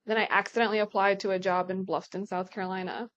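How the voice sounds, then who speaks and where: A chatty young woman from Brooklyn whose first language is Polish, speaking at an average pitch. She tells her story as a guest attorney in a relaxed podcast interview, full of quick asides. The recording sounds a little dull and lo-fi. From Brooklyn, New York.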